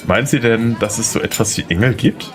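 A man's voice: medium pitch, brisk, background noise, natural voice. A man playing a polite, slightly unsure and charming young lawyer in an audio drama. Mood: friendly, charming. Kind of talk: German audio drama dialogue.

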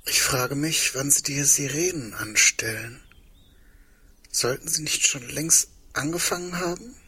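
Breathy, higher-voiced brooding man, radio drama. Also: measured pace, sharp tone, heavily acted. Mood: uneasy. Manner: questioning, dry. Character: probing, brooding, sardonic man awaiting the end. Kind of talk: radio drama dialogue acting.

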